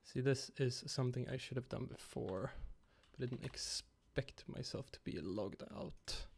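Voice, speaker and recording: A young man hosting a casual game-creation livestream from home on a headset, slightly raspy after being ill. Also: mid-range voice, measured pace. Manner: relaxed, rambling, talks to chat.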